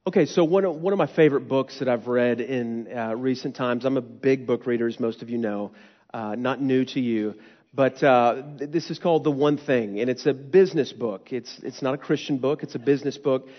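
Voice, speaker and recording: Nasal-voiced young pastor in a reverberant hall. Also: male voice, medium pitch, fairly quick. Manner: earnest, conversational preaching. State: nasal from a cold. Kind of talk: sermon. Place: church hall. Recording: dull sound with long hall reverb.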